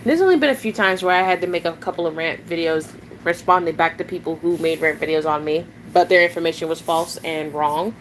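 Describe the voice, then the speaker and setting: A woman's voice: fairly low pitch, fairly quick, a little hiss or hum, low-quality recording. A nineteen-year-old woman streaming live, rambling casually, chatting with viewers and often giggling and restarting her sentences.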